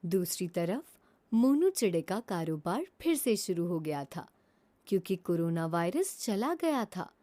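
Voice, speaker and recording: Woman narrating a lively children's story. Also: fairly low pitch, measured pace.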